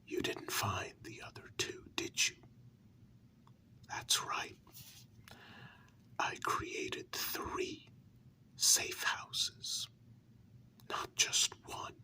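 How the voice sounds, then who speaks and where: A man voicing a hurt human lover confronting a vampire partner in a whispered ASMR role-play, with earnest, deliberate, drawn-out words. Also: sharp tone, heavily acted. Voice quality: breathy unvoiced whisper.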